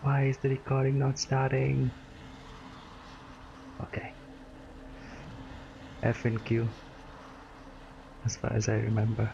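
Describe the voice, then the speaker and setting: A man gives casual live commentary while gaming, in short muttered reactions. He talks slowly, with a gravelly creak in an ordinary-pitched voice, over faint background noise. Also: level tone, highs cut off. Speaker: gaming streamer reacting to a game. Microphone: webcam setup at a desk.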